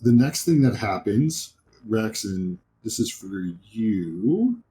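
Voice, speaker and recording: A man's voice: fairly low pitch, very animated, slow pace, mellow, soft background music. A man narrating a tabletop horror game as game master, improvising descriptions in a casual way.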